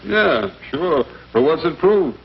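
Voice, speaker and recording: A man's voice: a little high, sing-song, takes it slowly, gravelly, heavily acted. A man playing a doubtful, curious American fellow in a 1950s commercial skit, with a casual, questioning delivery. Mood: curious, skeptical. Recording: dull, noisy 1950s broadcast.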